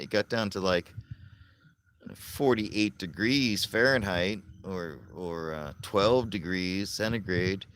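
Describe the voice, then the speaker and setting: Deep, slow-talking man from Florida. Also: a bit bright, slight background noise. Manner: rambling, chuckling, chats with viewers. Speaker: livestream host. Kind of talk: casual live chat. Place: outdoors at night.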